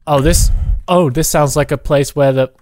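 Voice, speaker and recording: Guy gaming with a buddy, kinda high voice, excitable but unhurried, very dry sound. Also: no room sound. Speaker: gamer playing a co-op roleplay map. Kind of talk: casual gameplay commentary.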